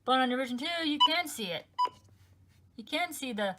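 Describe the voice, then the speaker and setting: A chatty young woman with a fairly high voice talks through her collection in a relaxed home vlog. She counts items aloud one by one, easygoing and informal. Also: normal speed, very noisy. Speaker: weather radio collector. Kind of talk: casual show-and-tell vlog.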